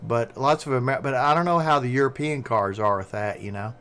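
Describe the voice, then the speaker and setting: A man's voice: mid-range voice, fairly bright tone, clean tone. Rambling man with a Southern American accent. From southern United States. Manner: fast-talking, rambling. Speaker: live streamer. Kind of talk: casual chat.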